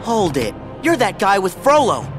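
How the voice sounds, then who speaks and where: A man playing a plucky, earnest teenage boy hero in a video game, eager, expressive and casual. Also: high-pitched, normal speed. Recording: long reverb, loud game music.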